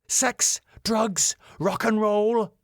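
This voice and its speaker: A man's voice: fairly high pitch, big pitch swings, brisk, fairly bright tone, no echo, studio quality, pitched above the performer's own voice. A man voicing a raging, officious woman counselor with an indignant, imperious manner, in a dramatic script reading.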